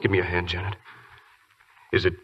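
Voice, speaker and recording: A man's voice: low-pitched, completely flat delivery, a little fast, a bit bright. A man playing a stoic, wry young wounded lieutenant in a 1940s radio drama. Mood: stoic, strained. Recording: dull 1940s radio recording, faint noise.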